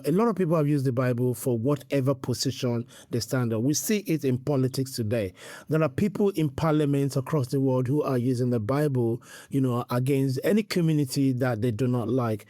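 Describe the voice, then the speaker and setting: A man's voice: mid-range voice, mellow, no room sound, studio quality. A middle-aged man from Nigeria, a priest answering interview questions earnestly and quoting scripture. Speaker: priest and guest.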